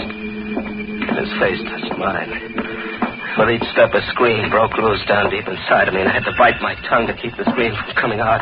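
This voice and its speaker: An actor playing a nervous, guilt-haunted hearse driver with hard-boiled, slangy speech, in a 1940s radio thriller style. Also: male voice, low-pitched. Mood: haunted narration. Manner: hard-boiled slangy talk, stammering when cornered. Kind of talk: 1940s radio thriller acting and first-person narration. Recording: noisy, dull 1940s radio recording.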